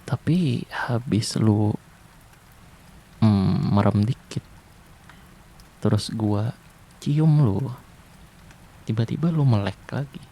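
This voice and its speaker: A young man doing a soft, intimate, teasing ASMR boyfriend roleplay. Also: fairly low pitch, slow, pauses often.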